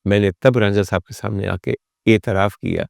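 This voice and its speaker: Gravelly Pakistani man telling personal stories. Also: on the low side, fairly even intonation, moderate pace, no echo, studio quality. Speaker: talk show guest and storyteller. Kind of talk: personal storytelling monologue to an audience.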